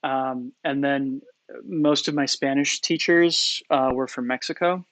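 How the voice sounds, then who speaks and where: A man's voice: medium pitch, steady tone, measured pace, fairly bright tone, no echo, rough recording. A man with a Coloradan accent answering viewer questions in a live-stream tutorial, hesitant, with many fillers and restarts, in a small indoor room. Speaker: poi spinning instructor.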